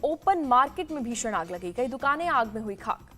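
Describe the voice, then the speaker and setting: A woman news anchor with an Indian accent reading scripted, rapid-fire news headlines. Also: fairly high pitch, big pitch swings, bright, forceful tone, faint music behind.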